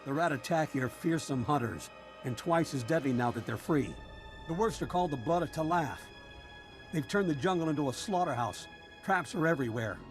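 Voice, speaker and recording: An actor playing a frustrated, battle-worn prison officer in a video game, brisk and practical. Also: male voice, on the high side, lightly acted. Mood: grim. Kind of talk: video game character acting.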